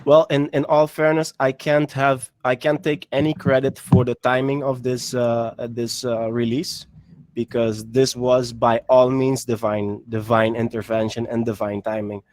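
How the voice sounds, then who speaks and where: A man's voice: mid-range voice, flat delivery, measured pace, a little mellow, no echo. A man in his thirties answering earnestly and with measure as a guest on an online panel. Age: 30s. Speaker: musician guest. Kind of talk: panel answer on a video call. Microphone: video call.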